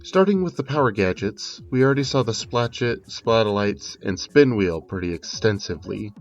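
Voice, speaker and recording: A man's voice: fairly low pitch, lively intonation, moderate pace, dull audio. A man delivering scripted video game analysis commentary with informal, conversational asides. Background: music under the voice.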